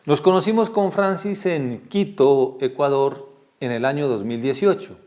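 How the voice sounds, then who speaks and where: A man with a mid-range voice hosts an interview program. He speaks formally and at length, building deliberate introductions and circling back over phrases. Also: mid-range voice, a little mellow, soft background music. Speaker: program host. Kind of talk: interview with long spoken introductions.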